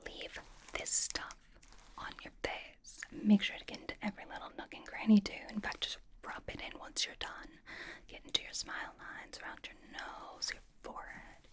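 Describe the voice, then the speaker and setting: A woman's voice: moderate pace, lightly acted. A woman playing a playful, teasing young female K-pop idol in an ASMR roleplay, whispering casual, reassuring skincare pampering. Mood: soothing, instructive. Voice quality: breathy whisper. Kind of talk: ASMR roleplay, soft personal-attention whispering.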